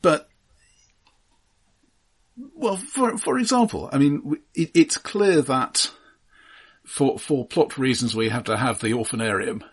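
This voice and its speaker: A man's voice: medium pitch, animated, unhurried, long pauses, breathy edge. A man in casual film podcast conversation, with hesitant starts and dry understatement. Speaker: film discussion podcast host. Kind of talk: casual film review chat.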